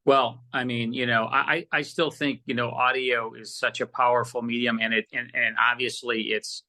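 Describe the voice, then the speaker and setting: A man's voice: mid-range voice, flat delivery, normal speed, pushed and bright. An American man chatting casually as an interview guest, enthusiastic and often repeating his words as he goes. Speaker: podcast guest, audio producer.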